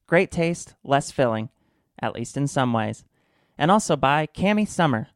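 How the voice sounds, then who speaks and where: A man's voice: a little high, clear, resonant tone, dry recording, studio quality, natural voice. A man playing a wry, mock-serious storyteller narrating a satirical radio drama.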